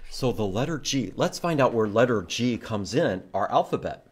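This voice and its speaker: Male children's storytime host, medium pitch, animated intonation, simple repetitive teaching that invites kids to repeat. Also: moderate pace, slightly rough. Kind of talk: children's storytime reading and letter lesson, with some singing.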